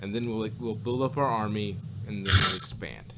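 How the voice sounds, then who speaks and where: A man chatting casually as a review co-host, often halting, repeating words and trailing off. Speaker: podcast co-host reviewing audio dramas. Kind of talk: casual chat. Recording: loud background noise, vehicle-like rumble.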